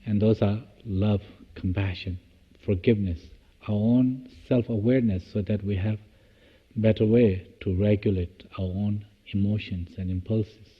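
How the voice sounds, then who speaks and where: A man guides a meditation in a lowish, husky voice. He moves in measured short phrases with long pauses between them. The recording is dull and muffled. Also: fairly even intonation, big-room sound. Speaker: meditation teacher.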